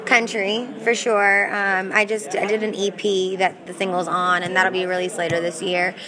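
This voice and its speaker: A woman's voice: medium pitch, level tone, moderate pace. A young woman country singer answering interview questions in an upbeat, chatty way, often restarting her sentences. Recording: crowd audible behind. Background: crowd chatter.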